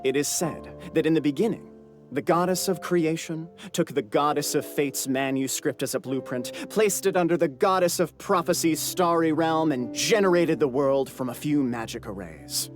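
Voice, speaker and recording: A man's voice: a little high, normal speed, natural voice. Pompous, grandiose scholarly nobleman played by a man. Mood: lecturing. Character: pompous scholarly clansman, proud of his ancestry. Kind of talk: theatrical video game character acting. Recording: music behind the voice.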